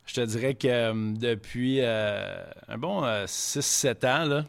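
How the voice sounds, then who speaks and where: A man's voice: medium pitch, slow pace, creaky. French-Canadian man rambling in franglais. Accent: Quebec French. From Quebec City. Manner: rambling franglais with frequent swearing. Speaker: guest chef. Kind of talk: casual podcast interview.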